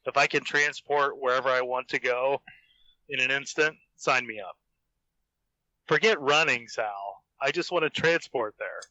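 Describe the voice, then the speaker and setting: A man with a bright, forward voice talks casually as a guest in a group podcast conversation. The sound is dry and a little dull, as from a voice call. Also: mid-range voice, a little slow, dry recording. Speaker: podcast guest, fan fiction author.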